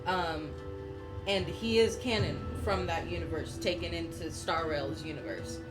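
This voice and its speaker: A woman's voice: fairly low pitch, measured pace, poor audio. A woman on a live game stream, explaining things casually and carefully with some hedging. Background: loud game music.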